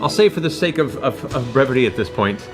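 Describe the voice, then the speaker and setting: A man's voice: mid-range voice, lively intonation, quick pace. A man narrating a tabletop fantasy game as game master, with vivid descriptions and casual asides. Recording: loud music behind the voice.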